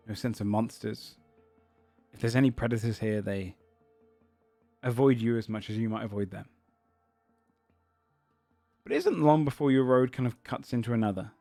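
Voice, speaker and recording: A man's voice: medium pitch, a little mellow, dry recording. Man narrating as game master, dry sound. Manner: descriptive, searching narration.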